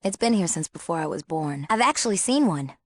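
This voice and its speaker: A woman's voice: on the low side, expressive, a little fast, no echo. A young woman with an American accent playing a bored, sassy small-town girl in a video game, casual and sardonic. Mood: matter-of-fact. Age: young adult. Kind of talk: video game character acting.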